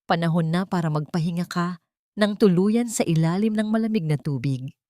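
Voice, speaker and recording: A woman narrator plays a murderous, ruthless man with cold menace. The delivery is threatening, in a lowish voice with a dry studio sound, as in a melodramatic storybook. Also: moderate pace, warm tone, dry recording, natural voice. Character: menacing, ruthless male cousin, murderous villain.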